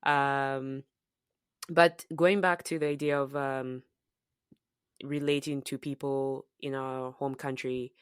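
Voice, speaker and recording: Low-voiced slow Zambian woman. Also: little pitch movement, clean tone, no room sound, clean studio sound. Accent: Zambian, softened by years in the US and UK. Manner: reflective and searching, with frequent fillers and restarts. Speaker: podcast co-host. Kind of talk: casual conversational podcast chat.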